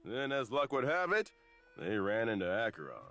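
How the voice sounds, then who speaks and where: A man voicing an adult male game character who narrates the story in an expressive way. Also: medium pitch, normal speed. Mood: grave telling. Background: faint game music.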